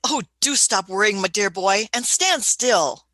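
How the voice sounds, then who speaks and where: A woman plays an eccentric old scientist in a radio sci-fi drama. The voice is crisp-edged and bright, recorded close and dry, with a patronising, testy, courtly fussiness. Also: mid-range voice, lively intonation, measured pace, dry recording. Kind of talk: sci-fi radio play acting.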